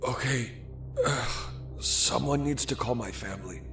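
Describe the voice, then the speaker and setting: A man's voice: mid-range voice, vocal fry, noisy background. A man playing an injured, confused hospital patient with a volatile temper in an audio drama. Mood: weak, worried. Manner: halting when weak, explosive when angry. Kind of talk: dramatic audio fiction acting.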